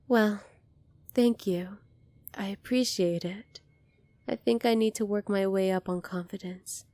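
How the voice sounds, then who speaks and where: A woman playing a gentle, earnest young alien princess in an ASMR roleplay, speaking softly and politely to the listener. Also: mid-range voice, no echo, lightly acted. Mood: shy, grateful. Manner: soft-spoken, polite, earnest, apologetic.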